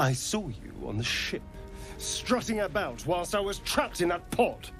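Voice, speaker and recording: An actor playing a suave, haughty, wary rogue in a video game, theatrical and mockingly polite. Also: male voice, high voice, big pitch swings, a little slow, fairly bright tone, faint music behind, exaggerated voice. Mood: accusing. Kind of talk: video game character acting.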